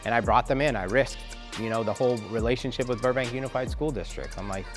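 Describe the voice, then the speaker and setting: A man's voice: mid-range voice, fast talker, music in the background. A man who founded a car academy, speaking in a casual interview with loose, filler-heavy talk. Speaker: academy founder and shop owner.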